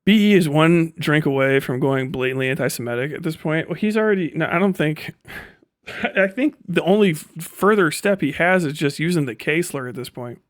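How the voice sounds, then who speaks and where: A man's voice: fairly high pitch, expressive, a little fast, no echo, studio quality. A man giving a casual livestream rant as host, swearing freely in slangy, sarcastic talk and often laughing as he speaks.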